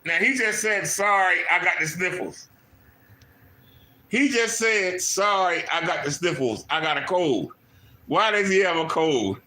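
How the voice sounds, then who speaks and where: A man's voice: sits high, animated, measured pace, sharp tone, reverberant. A man from Maryland hosting a commentary channel, mocking someone in a clip with incredulous, repetitive questions. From Maryland, USA. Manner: incredulous, mocking, repeats points. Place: home room.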